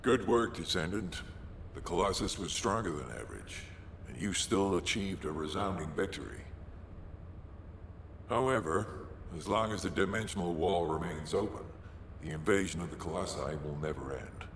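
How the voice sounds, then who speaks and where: An extremely deep male voice in a roomy, echoing space with faint noise, a video game mentor. He is grave and formal, delivering each line with measured solemnity. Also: expressive. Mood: solemn, approving. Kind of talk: scripted game cutscene acting.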